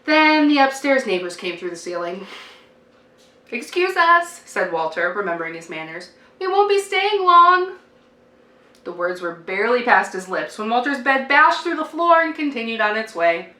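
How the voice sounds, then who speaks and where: A woman reading a children's picture book aloud like a teacher, with expressive, deliberate emphasis and a dramatic sing-song delivery. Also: medium pitch. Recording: roomy, distant microphone, faint noise.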